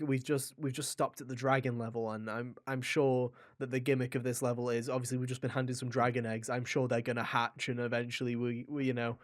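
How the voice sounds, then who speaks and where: A man co-hosting a gaming podcast, chatting casually and enthusiastically in a joking, sweary way. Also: medium pitch. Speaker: podcast host and game reviewer.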